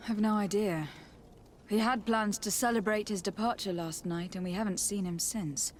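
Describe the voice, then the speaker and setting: A woman's voice: medium pitch, steady tone, moderate pace, slight background noise, close to an everyday voice. An actress playing a poised, confident young noblewoman in a video game, formal and polite. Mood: subdued. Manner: formal, composed.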